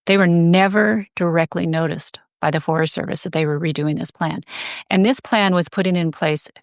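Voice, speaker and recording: A woman explaining things as an interview guest, in a measured, detailed, conversational way. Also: on the low side, steady tone, dry recording, studio quality. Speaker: guest, advocacy group director.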